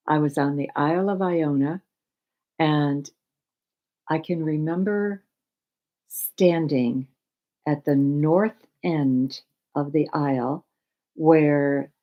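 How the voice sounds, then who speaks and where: An older American woman giving an informal spiritual talk with personal anecdotes, speaking in a reflective, halting and deliberate way. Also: low-pitched, muffled recording. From United States, raised in Michigan. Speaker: spiritual teacher.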